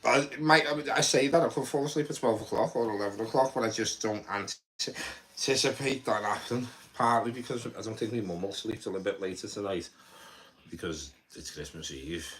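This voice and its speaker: A young man with a very low voice and a Scouse accent talks loosely to his live-stream viewers. He rambles, stumbles over repeated words and drops swear words without thinking. A faint hiss of background noise sits under the recording. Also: a bit bright, low-quality recording. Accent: Liverpool (Scouse). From Liverpool, England. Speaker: livestreamer.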